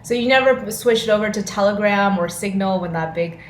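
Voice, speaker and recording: A woman's voice: medium pitch, fairly quick. A woman hosting a podcast interview in an enthusiastic, informal way, moving through questions. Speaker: podcast host. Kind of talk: upbeat interview with quick questions. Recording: roomy echo, faint noise.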